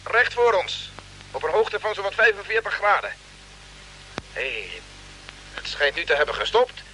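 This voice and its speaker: A dutiful spaceship crewman, high and very sharp in tone. He reports to his captain, on an old radio play recording with a faint hum. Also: very animated, moderate pace, exaggerated voice, low-quality recording. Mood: tense reporting. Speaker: radio drama actor. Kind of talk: mid-century radio play acting.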